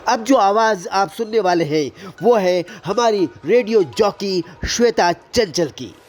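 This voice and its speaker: A man's voice: high voice, lively intonation, slow, soft background music. A man making scripted announcements to introduce radio presenters.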